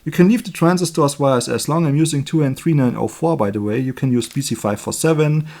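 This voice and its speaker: A man with a German accent, a native German speaker, giving an informal hands-on electronics tutorial in a chatty, halting way, correcting himself as he works. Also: a little high, a little mellow. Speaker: electronics hobbyist demonstrating a circuit build. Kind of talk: informal hands-on tutorial, explaining while working.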